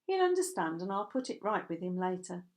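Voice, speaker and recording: A woman's voice: fairly low pitch, big pitch swings, fairly quick, darkish tone. A woman voicing a firm, self-effacing mother and potter who explains herself, in a storybook reading. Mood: reassuring.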